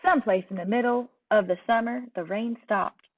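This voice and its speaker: A woman with a Southern US accent giving an expressive storybook read-aloud as a teacher, telling it in a young girl's words with soft dips at phrase ends. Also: on the high side, normal speed, slight background noise. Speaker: teacher reading a picture book aloud. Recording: distant, tinny phone-like sound.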